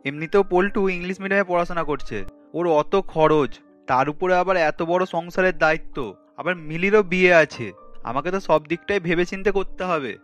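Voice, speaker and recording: A man's voice: sits high, moderate pace, a bit bright, faint music behind. A man playing a weary grown son and husband who sides with his wife in a cartoon. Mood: weary, resigned. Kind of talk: Bengali cartoon dubbing.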